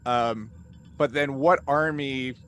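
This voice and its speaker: Male panelist, discursive and halting, conversational delivery, slightly noisy video-call sound. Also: mid-range voice, a bit bright. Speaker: cast member and game master. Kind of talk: casual panel chat.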